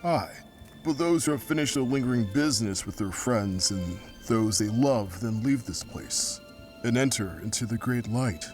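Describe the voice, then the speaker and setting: A man's voice: mid-range voice, animated, a little mellow, lightly acted. Guy doing an ancient noble ghost for an audio drama, formal archaic talk, solemn, music underneath. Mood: grave, courteous. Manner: formal archaic speech, measured and grave. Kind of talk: audio drama character acting.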